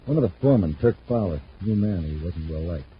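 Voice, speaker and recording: An American actor playing a troubled, plain-spoken construction superintendent in a vintage radio adventure serial. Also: male voice, deep voice, expressive, fairly quick. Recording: muffled, faintly noisy vintage radio recording.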